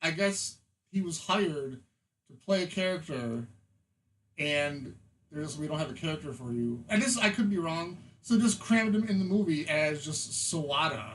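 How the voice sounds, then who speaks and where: A man co-hosting a podcast, telling anecdotes in a casual, profane and animated way. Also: a little high, moderate pace, a little hiss or hum, poor audio.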